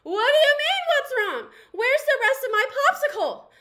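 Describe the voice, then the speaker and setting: A woman's voice: very high voice, sing-song, normal speed, pushed and bright, voice pushed up, heavily acted. Shrieking popsicle stick man, voiced by a woman. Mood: hysterical panic. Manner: shrieking, wailing. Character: panicky, frantic popsicle stick man toy.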